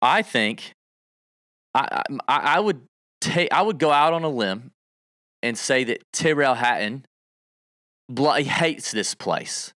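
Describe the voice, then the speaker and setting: A man co-hosting a casual golf betting livestream, talking in an animated, emphatic way and piling on stats. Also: mid-range voice, slow, long pauses, no echo, studio quality.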